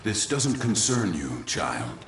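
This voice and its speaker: Slow, deep, menacing warrior. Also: male voice, big pitch swings, gravelly, heavily acted. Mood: stern warning. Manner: slow, grave, threatening delivery. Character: stern, menacing older male warrior mentor. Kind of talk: video game voice acting. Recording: echoey voice under loud game music.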